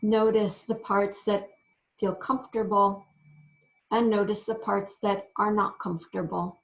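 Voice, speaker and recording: Slow-paced woman guiding a meditation. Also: mid-range voice, little pitch movement, creaky, rough recording. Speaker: yoga meditation teacher. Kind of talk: guided meditation.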